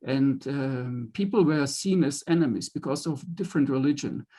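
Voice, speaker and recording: A man, a film director, speaking in a panel conversation in a measured, earnest way with filler pauses. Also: mid-range voice, mellow.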